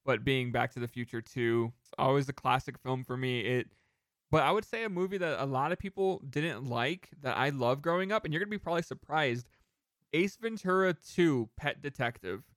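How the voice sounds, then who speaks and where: Mid-pitched man in casual banter. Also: normal speed, a bit bright. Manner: joking, swears freely. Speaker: podcast co-host. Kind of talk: casual chat about movies.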